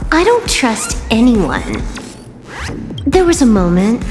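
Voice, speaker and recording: A woman's voice: on the high side, sing-song, slow. An actress playing a cocky, taunting young woman hunter in a game cutscene, self-assured and scheming. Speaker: game heroine. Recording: long hall reverb with music behind.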